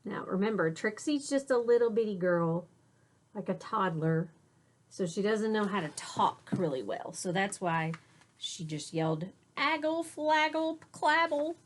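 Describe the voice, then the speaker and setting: A woman teacher reading a picture book aloud to children, pausing for simple explanatory asides. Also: lowish voice.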